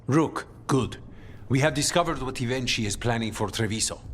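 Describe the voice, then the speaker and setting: An actor playing a grim, determined assassin commander in a video game, terse and serious in manner. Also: male voice, mid-range voice, moderate pace, natural voice.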